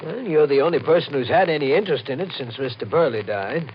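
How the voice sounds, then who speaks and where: An actor playing a curt, money-minded real estate agent in old-time radio mystery style, skeptical in manner. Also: male voice, fairly high pitch, lively intonation, fast, natural voice. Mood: uneasy. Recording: noisy, dull old radio recording.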